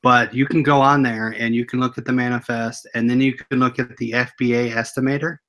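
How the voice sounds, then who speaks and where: Relaxed, matter-of-fact man co-host. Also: medium pitch, fairly quick. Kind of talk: casual livestream chat.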